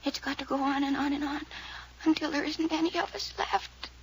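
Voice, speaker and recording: Radio-serial role: a haunted young woman, hushed and halting, high voice, faint hiss. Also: gravelly, rough recording. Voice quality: hushed, often whispering. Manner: halting, dreamy, fearful. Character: frightened, eerie young woman in danger. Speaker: radio drama character. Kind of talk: 1940s radio acting.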